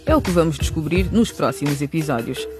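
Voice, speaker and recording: Woman announcer over loud hip hop music. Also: low-pitched, expressive, brisk. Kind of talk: scripted radio outro narration.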